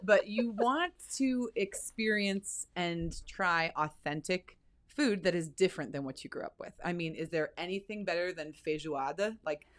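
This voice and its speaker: Chatty low-voiced woman on a podcast, excited, casual. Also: normal speed. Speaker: university center director.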